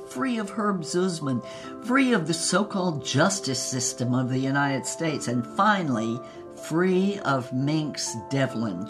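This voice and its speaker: A woman playing a wry, brassy showgirl who tells her own story in theatrical, vivid dramatized narration. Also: sits low. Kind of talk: first-person dramatized narration.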